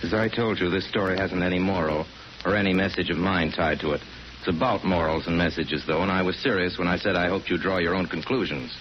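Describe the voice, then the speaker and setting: Deep-voiced man narrating an old radio drama. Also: fairly even intonation, brisk. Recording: muffled 1941 radio recording, faint noise.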